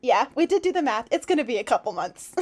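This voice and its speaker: A woman with a fairly high voice hosts a casual livestream, reading fiction aloud and chatting about it. Her delivery is expressive, giggly and effusive. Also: quick pace.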